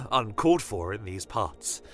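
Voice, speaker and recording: A man voicing a nervous, hesitant village pastor who stammers and haltingly chuckles in a fantasy story. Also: fairly low pitch, very animated, a bit bright, faint music behind, pitched above the performer's own voice, exaggerated voice. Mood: nervous, chatty.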